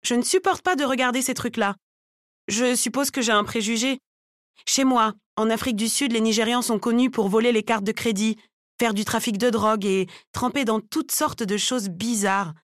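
A woman's voice: on the high side, fairly even intonation, moderate pace, no echo, studio quality, lightly acted. A woman voicing a frank, casual, opinionated woman from South Africa, a salon customer in an audiobook.

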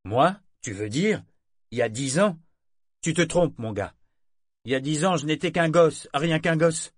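A man's voice: a little high, expressive, measured pace, no echo, clean studio sound, voice pushed up. A man voicing a cunning young traveler who talks in an affable, sly way. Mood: sly, friendly. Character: cunning young male traveler, smooth-talking.

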